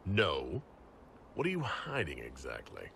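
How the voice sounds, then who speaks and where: A calm, wry male leader from a fantasy video game. His voice sits very low yet moves in a lively way. There is clear background noise behind him. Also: a little slow, exaggerated voice. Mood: teasing. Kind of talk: cinematic game dialogue acting.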